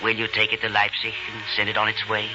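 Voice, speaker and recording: A man's voice: fairly low pitch, monotone, fast, close to an everyday voice. An actor playing an earnest, kindly village man with a solemn, reverent manner in an old radio drama. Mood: kindly, hopeful. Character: earnest, gentle village man entrusting a song to children. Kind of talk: 1940s radio drama acting. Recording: muffled old radio broadcast, music behind.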